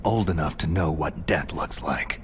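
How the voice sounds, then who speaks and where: A hard-boiled lone soldier in a video game. The voice sits low and gruff, and the delivery is terse, stoic and emotionally guarded, as if closeness is unwelcome. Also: male voice, moderate pace, slight background noise. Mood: guarded, dismissive. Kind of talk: video game cutscene acting.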